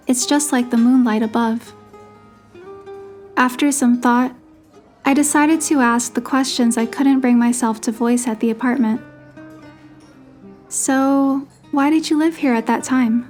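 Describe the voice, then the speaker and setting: Woman as high-voiced gentle young romantic heroine. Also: fairly even intonation, unhurried, pauses often, close to an everyday voice. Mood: tender, curious. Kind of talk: scripted first-person narration and dialogue. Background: background music with guitar.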